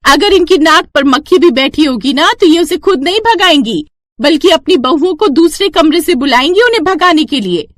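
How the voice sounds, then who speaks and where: A woman voicing an outspoken middle-aged neighbor woman who lectures and scolds in a shrill, high voice. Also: fairly bright tone, dry recording.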